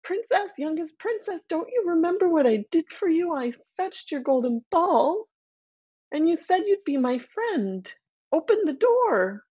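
A woman voicing a magic talking frog who pleads in a high, wailing voice at a door. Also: big pitch swings, a little slow, warm tone, highs cut off, exaggerated voice. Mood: pleading.

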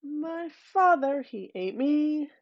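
A woman's voice: sits high, lively intonation, slow, no room sound, voice pushed up, heavily acted. A woman voicing a sweet singing bird, the spirit of a murdered boy, chanting in a singsong way. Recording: dull, muffled recording.

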